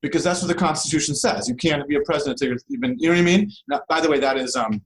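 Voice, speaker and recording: A man's voice: fairly high pitch, rapid-fire, rough recording. A man speaking as an expert guest in an interview, explaining things informally and checking in with rhetorical questions. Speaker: interview guest, law professor.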